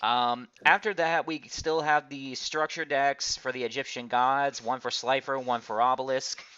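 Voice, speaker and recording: A man's voice: medium pitch, steady tone, normal speed, bright, forceful tone, clean tone, highs cut off. A man chatting casually about card games on an online podcast, talking as a host would. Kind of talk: casual card game chat. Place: online group voice call.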